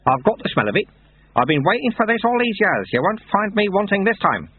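A man voicing a gruff, wily old monk sweeper in an audiobook story, with folksy, ungrammatical talk and a raised, animated voice. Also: sits high, a little fast, no echo, phone-quality sound, voice pushed up, heavily acted. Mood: insistent, exasperated.

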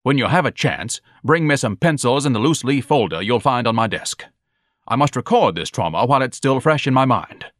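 Man acting as pompous grown son, mid-pitched, florid declaiming, haughty, melodramatic, self-pitying, audiobook character. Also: animated, fairly quick, a bit bright, no room sound, clean studio sound, lightly acted. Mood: lofty, dismissive.

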